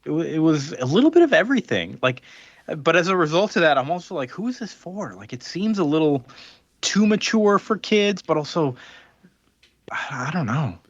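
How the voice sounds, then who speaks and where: A man's voice: a little high, sing-song, normal speed, breathy edge, dry recording, muffled recording. Man casually recapping a comic plot. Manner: rambling plot recap full of fillers. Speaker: podcast co-host. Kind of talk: casual podcast chat.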